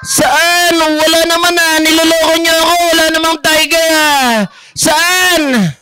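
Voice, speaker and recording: A man voicing a mischievous, excitable young grandson puppet with a Filipino accent and a whiny, exclaiming manner in a children's show. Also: very high voice, unhurried, noisy background. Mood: puzzled, whiny. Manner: whiny, exclaiming, repeats questions.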